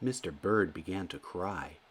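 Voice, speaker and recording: A man reading a children's storybook aloud as narrator, in an expressive and deliberate storytelling manner. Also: low-pitched, slight background noise. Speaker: children's book reader.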